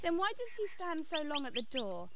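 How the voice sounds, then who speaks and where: A woman's voice: sits high, very animated, a little fast, a bit bright, heavily acted. A woman playing a playful, affectionate young daughter in a radio play, giggly and teasing. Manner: giggly, teasing, sing-song. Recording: noisy, with clicks and birdsong.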